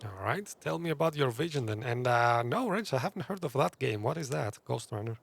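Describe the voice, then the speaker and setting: A man's voice: medium pitch, expressive, brisk, creaky, a little hiss or hum. A man streaming a game with casual live commentary, chatty and joking as he thinks aloud.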